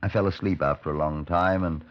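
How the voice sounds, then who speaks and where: Low-voiced police superintendent, played by a man, dull radio recording. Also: steady tone, fairly quick, a little hiss or hum, lightly acted. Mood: reflective narration. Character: police superintendent recounting a case, inquisitive, matter-of-fact. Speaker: narrator and lead actor. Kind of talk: 1950s radio drama acting, narrating.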